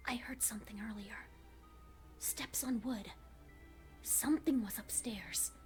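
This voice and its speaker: A woman voices a young female pony, high in pitch and slow in pace. She is lonely and frightened, keeping a journal in a horror tale. Her delivery is anxious, halting and intensely emotional. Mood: hushed, paranoid. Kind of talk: dramatic first-person horror fan fiction reading.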